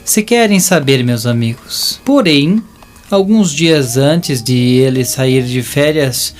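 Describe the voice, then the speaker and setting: A man with a Brazilian accent narrating a scripted audio drama as a deliberate storyteller who addresses listeners. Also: mid-range voice, lively intonation. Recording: voice over a music bed.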